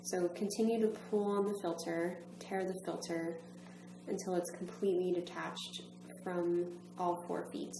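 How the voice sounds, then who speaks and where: A woman's voice: fairly low pitch, flat delivery, a little slow. A woman narrating an instructional demonstration in a methodical, step-by-step manner. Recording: roomy echo, faint background hum.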